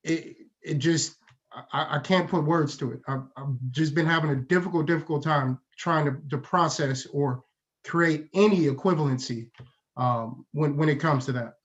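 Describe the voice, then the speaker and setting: A man's voice: fairly high pitch, moderate pace. A man in a reflective community talk, stammering and searching for words. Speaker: workgroup co-chair. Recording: dull lo-fi audio.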